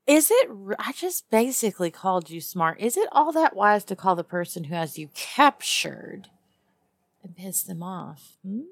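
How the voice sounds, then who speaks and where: A woman playing a tough, teasing lizard-person huntress in an immersive audio roleplay, with a Texas Southern accent and a sardonic drawl full of musing pauses and hums. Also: medium pitch, very animated, no room sound. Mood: sardonic, probing. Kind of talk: one-sided immersive audio roleplay to a captive listener.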